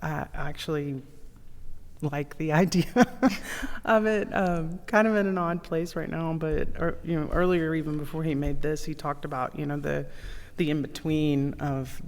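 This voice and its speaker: A woman's voice: low-pitched, fairly even intonation, normal speed, big-room sound. A woman in her forties on a casual church podcast, speaking in a reflective way and laughing easily. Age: 40s.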